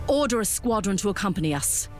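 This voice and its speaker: A woman's voice: mid-range voice, animated, quick pace, darkish tone, faint music behind, close to an everyday voice. A woman playing a haughty, impatient military commander in an audio drama. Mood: bored, imperious.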